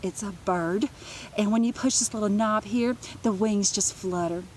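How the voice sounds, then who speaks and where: A woman's voice: mid-range voice, moderate pace, darkish tone, voice pushed up, lightly acted. A rich little schoolgirl from a storybook, played by a woman reading aloud. She is boastful and precise, showing off, with faint noise in the recording.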